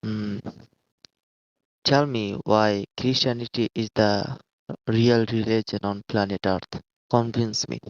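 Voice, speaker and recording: Bengali-speaking guy from bangladesh calling in, flat and clipped, dull dry line. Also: lowish voice, takes it slowly, dry recording. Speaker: caller. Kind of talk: call-in debate. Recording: muffled dry call line.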